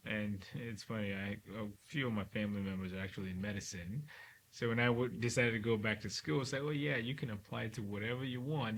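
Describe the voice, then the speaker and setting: A middle-aged man from Cameroon, a neurosurgeon talking reflectively in an interview, often ending points with a quick "right?". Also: fairly low pitch, fairly bright tone. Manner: reflective, frequent "right?" tag questions. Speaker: neurosurgeon guest. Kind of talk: relaxed interview conversation. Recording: faint hiss.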